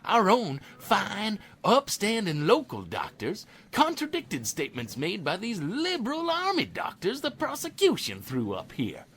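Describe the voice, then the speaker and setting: A man playing a pompous, bigoted Southern defense attorney with a husky voice, orating in a courtroom audio drama. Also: high voice, huge pitch swings, measured pace, few pauses, fairly bright tone, a little hiss or hum, exaggerated voice. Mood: indignant, pompous. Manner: pompous, rhetorical, goading.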